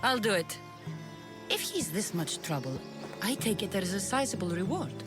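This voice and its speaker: A woman's voice: medium pitch, sing-song, moderate pace, over music, natural voice. Actress as blunt female mercenary, game drama, sardonic, commanding, widely varied intonation. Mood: dry, bargaining. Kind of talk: video game dramatic acting.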